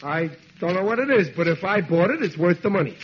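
Blustery high-pitched radio dad. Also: male voice, lively intonation, fairly quick. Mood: blithely stubborn. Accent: American. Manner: grumbling, indignant, ungrammatical working-man talk. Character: blustery, stubborn, slow-witted working-class family father. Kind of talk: 1940s American radio comedy acting. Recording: dull vintage radio recording, hissy.

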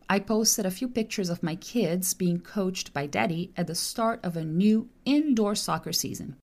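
Woman, low voice, lively delivery. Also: creaky. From Brazil. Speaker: podcast host and translator. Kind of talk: scripted podcast monologue.

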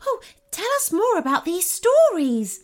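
A woman voicing a friendly, curious female storybook unicorn, gentle and encouraging with a sense of wonder. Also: high voice, very animated, unhurried, voice pushed up. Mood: excited. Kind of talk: storybook character voice.

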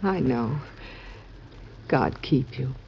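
An actress playing a president's devoted, anxious yet composed wife in an American old-time radio drama, soft and formal. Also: female voice, rumbling low, expressive, very slow, vocal fry, exaggerated voice. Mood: tender, worried. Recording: dull, noisy vintage radio recording.

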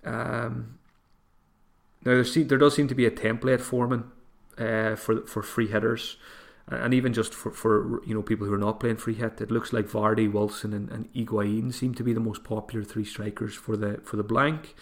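A man hosting a solo podcast, thinking aloud with frequent restarts, his voice going hoarse. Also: on the low side, flat delivery, normal speed. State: voice getting hoarse. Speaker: podcast host. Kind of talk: solo podcast advice talk.

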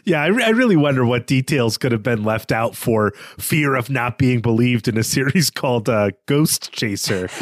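A man of middling pitch discusses fiction as a podcast co-host. His delivery is casual and analytical, with a wry edge. He is recorded dry, without audible room. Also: no echo. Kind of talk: literary discussion.

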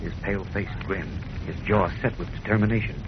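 A man's voice: low voice, steady tone, fairly quick. A man narrating a 1940s radio serial in a dramatic, scripted style. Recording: muffled, faintly noisy 1940s radio recording.

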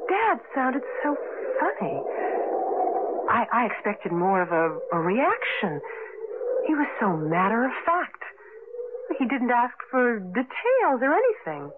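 An actress in a 1980 radio drama playing an anxious, wistful young married woman, expressive and hesitant, on a noisy old broadcast recording. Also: fairly high pitch, slow, phone-quality sound, heavily acted. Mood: uneasy.

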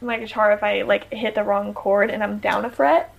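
A woman's voice: mid-range voice, little pitch movement, moderate pace, gravelly, dull audio. A young American woman, a musician guest on a podcast, chatting casually with many fillers and frequent laughs. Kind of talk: casual chat.

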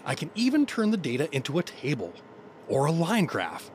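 A man's voice: on the high side, wildly swooping pitch, fairly quick, slight background noise. A man playing an earnest, nerdy office father who sounds eager and awkward. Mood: eager, fatherly. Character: earnest, nerdy, put-upon office dad.

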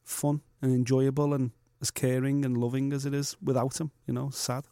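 A man's voice: mid-range voice, level tone, moderate pace, mellow, dry recording, studio quality. A man co-hosting a boxing podcast in casual chat, blunt and jokey, with slang like "mate".

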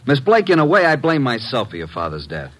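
An actor playing a polite, confident detective's assistant in an old-time radio drama, speaking in brisk statements. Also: male voice, mid-range voice, huge pitch swings, fairly bright tone. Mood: solemn, assured.

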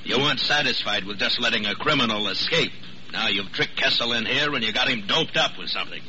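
A man playing a gruff, suspicious police captain in an old-time radio drama, blunt and sarcastic. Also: mid-range voice, fairly quick, sharp tone, highs cut off. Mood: accusing. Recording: faintly noisy, band-limited vintage radio recording.

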